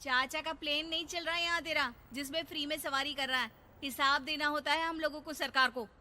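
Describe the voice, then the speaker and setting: A woman's voice: high voice, steady tone, brisk, pushed and bright, a little hiss or hum, pitched above the performer's own voice. A woman voicing a tall, burly, bold young female bus conductor in a comic cartoon, brash and mocking. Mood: brash, demanding. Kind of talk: comic Hindi cartoon dialogue.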